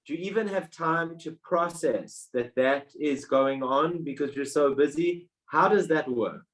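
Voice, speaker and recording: A man's voice: fairly high pitch, poor audio. A man hosting an interview on a video call, chatty and encouraging, making jokes as he asks questions. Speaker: host, interviewer.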